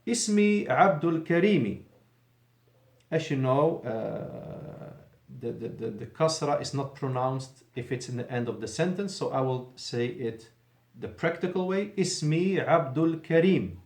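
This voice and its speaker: A man's voice: medium pitch, expressive, unhurried, darkish tone, roomy sound. A male Arabic teacher giving a patient classroom lesson in a mosque, explaining in English and repeating Arabic phrases.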